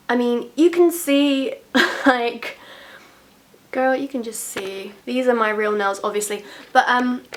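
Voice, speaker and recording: Chatty young woman with a nasal voice. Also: a little high. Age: 20s. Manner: chatty, frank, laughs often. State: nasal from a cold. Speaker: beauty vlogger. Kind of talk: casual talk to camera.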